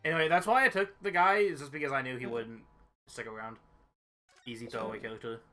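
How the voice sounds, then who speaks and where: Bright, forceful man bantering. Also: mid-range voice, steady tone, fast talker, faint music behind. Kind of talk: casual gaming banter.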